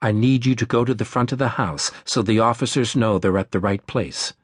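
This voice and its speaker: A male narrator voices a female emergency dispatcher in a thriller audiobook. She is calm, controlled and reassuring, with a gentle lilt, recorded dry and close. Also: medium pitch, breathy edge, no echo, lightly acted. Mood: firm. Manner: measured, soothing, controlled.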